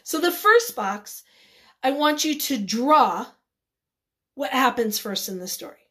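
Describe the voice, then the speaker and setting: A woman teacher leading a read-aloud lesson for young children, encouraging and instructive, pausing after simple questions. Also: high voice, lively intonation, a bit bright. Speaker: elementary school teacher.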